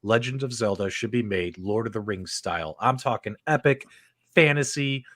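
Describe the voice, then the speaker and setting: A man co-hosting a casual panel chat, talking with eager enthusiasm and big swings in pitch. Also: normal speed, fairly bright tone, no room sound, studio quality. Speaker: co-host.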